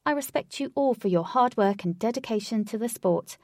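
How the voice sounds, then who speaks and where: A woman's voice: on the high side, lively intonation, brisk, a little mellow, no echo, clean studio sound. A woman voicing a young female assistant coach giving a gracious speech in a storybook.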